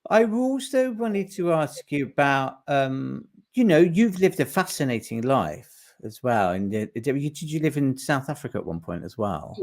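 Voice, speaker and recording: A man hosting a casual interview, speaking haltingly and searching for his words. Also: medium pitch, big pitch swings. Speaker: podcast host.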